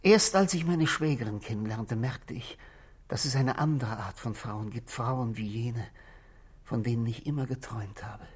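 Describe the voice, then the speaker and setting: A man playing a bitter but controlled husband in a 1960s German radio drama, speaking in formal, deliberate phrases. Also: mid-range voice, slightly rough, natural voice. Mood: bitter, confessional. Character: controlled, bitter married man confessing to police.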